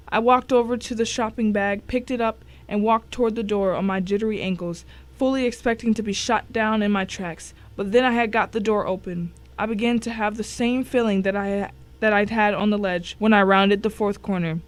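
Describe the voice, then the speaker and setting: Lady as a grim narrator reading a crime thriller, medium pitch, dramatic first person storytelling. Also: fairly even intonation, normal speed, lightly acted. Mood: grim, tense.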